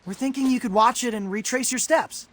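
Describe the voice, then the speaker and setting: A man's voice: very high-pitched, fairly quick, a bit bright, no room sound. A man voicing an earnest, responsible teenage mutant turtle boy in a cartoon game, slightly awkward in manner. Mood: eager, polite. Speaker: voice actor in a game cutscene. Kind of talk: animated cartoon game acting.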